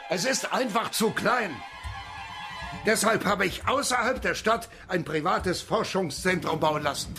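An impatient, commanding tycoon with a high male voice. It is radio drama style, with string music clearly behind him. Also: a little slow. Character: impatient, wealthy male project backer. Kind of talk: German radio drama acting.

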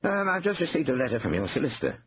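Man acting an anxious, evasive brother, high and animated. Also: very fast, husky, no room sound, lo-fi sound. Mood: incredulous. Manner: incredulous, flustered, evasive. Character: anxious, evasive, tight-fisted brother, male shopkeeper.

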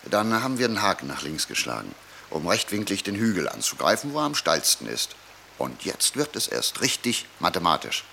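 A man's voice: low voice, big pitch swings, fairly quick, vocal fry, bright, forceful tone, slight background noise, exaggerated voice. An actor playing a bossy, cocksure fugitive man who scolds and lectures his comrade impatiently, in a German radio play. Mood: cocksure, lecturing.

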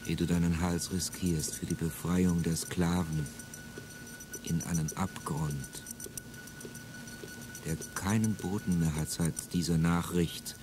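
A man's voice: sits low, fairly even intonation, takes it slowly, pauses often, background noise. An actor playing an embittered male revolutionary in a classic radio drama, brooding and swelling into bitter outbursts, with music behind him.

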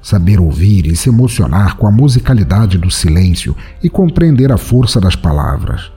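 A man with a Brazilian accent, hosting and narrating a scripted podcast audio drama in a theatrical, deliberate way. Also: sits low, very animated, slightly rough. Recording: soft music behind.

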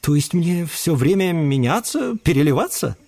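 A little chameleon kid from a storybook, super high n naive, pleading, swoopy. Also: male voice, very animated, moderate pace, darkish tone, soft background music. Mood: hopeful, curious. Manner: childlike, pleading, earnest.

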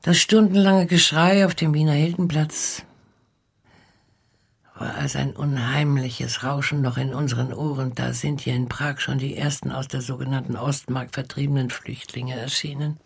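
Gravelly, wistful old woman. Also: extremely low pitch, steady tone, moderate pace, heavily acted. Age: older. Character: elderly former nanny, wistfully recalling pre-war Prague. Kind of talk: literary radio drama monologue.

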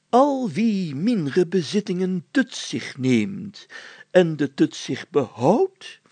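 A man voicing a self-important village mayor in a story, halting and hemming, given to solemn declaiming. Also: on the high side, vocal fry, warm tone, no room sound, heavily acted. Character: pompous village mayor reading old documents aloud, self-important, deliberate.

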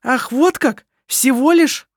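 A reader voicing a furious young woman who shouts accusations in an audiobook. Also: slow, no echo, clean studio sound, exaggerated voice. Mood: angry. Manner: shrill, shouting.

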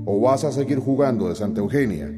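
An actor playing a cynical, greedy, taunting husband in a radio drama, with a Central American accent. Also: male voice, medium pitch, lively intonation, fairly quick, close to an everyday voice. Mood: mocking, scornful. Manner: sneering, taunting. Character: cynical, greedy, manipulative husband, mocking his wife. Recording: long echo, loud music behind.

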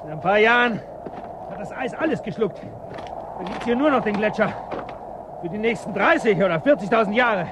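Suspicious scavenger, unusually high male voice, curt and mocking, radio drama with loud outdoor noise. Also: lively intonation, fairly quick, long pauses. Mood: bitter, curt. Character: cynical, suspicious male looter in a frozen ruined town. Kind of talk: German radio drama acting.

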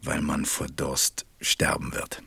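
Very deep, husky pilot narrator. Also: male voice, monotone, slow pace, sharp tone, heavily acted. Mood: weary, resigned. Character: stranded pilot narrator, grave, weary man. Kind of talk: scripted radio play narration.

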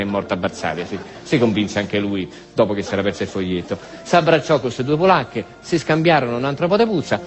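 A man with a Roman accent performing a colloquial theatrical monologue about war, rambling and repetitive, tossing in tag questions. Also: medium pitch. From Rome, Italy. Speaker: monologue storyteller, stage actor.

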